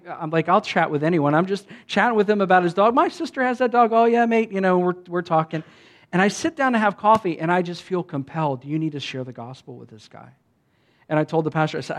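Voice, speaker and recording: A fairly high-pitched man from the United States preaches in a relaxed, conversational way. As a pastor, he tells a story and acts out the quoted dialogue of the people in it. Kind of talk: sermon storytelling.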